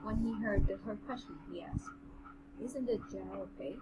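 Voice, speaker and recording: Woman co-host over loud background noise. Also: medium pitch, moderate pace. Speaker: podcast co-host reading aloud and commenting. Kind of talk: informal read-aloud and casual chat.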